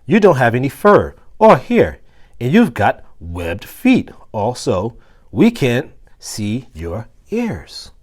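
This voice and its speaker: A man's voice: medium pitch, wildly swooping pitch, slow pace, voice pushed up, exaggerated voice. A man voicing a friendly janitor in a storybook, explaining things in an emphatic, kindly way. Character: friendly mustached janitor, a man studying to teach. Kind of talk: storybook character dialogue.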